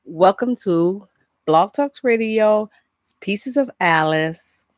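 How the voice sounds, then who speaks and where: A woman's voice: mid-range voice, animated, takes it slowly, dry recording, studio quality. A middle-aged woman hosting a casual entertainment vlog, chatting loosely and often rambling off into asides. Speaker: host of an entertainment news show. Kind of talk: casual solo vlog chat with announcements.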